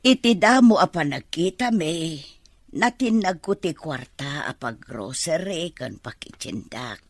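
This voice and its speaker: A woman's voice: low voice, animated, a little slow, dry recording, studio quality, exaggerated voice. A woman acting a deferential, earnest older woman who confides in her boss, in an Ilocano radio drama. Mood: earnest, respectful. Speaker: voice actor in an audio drama.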